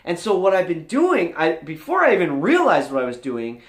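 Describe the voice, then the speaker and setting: A man's voice: on the high side, wildly swooping pitch, normal speed, fairly bright tone. A man giving an earnest, emphatic motivational talk to camera as a vlog host, encouraging his viewers. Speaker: vlogger, channel host. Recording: roomy indoor echo.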